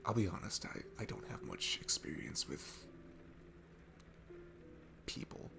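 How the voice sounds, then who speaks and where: Shy, sweet guy alone at a bar, played by a man for ASMR audio: heavy vocal fry, lively shifting pitch, hesitant, stammering and self-effacing. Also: measured pace, exaggerated voice. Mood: nervous, self-deprecating. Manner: hesitant, stammering, self-deprecating, breaking into hushed breathy asides. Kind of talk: intimate ASMR roleplay audio acting. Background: music.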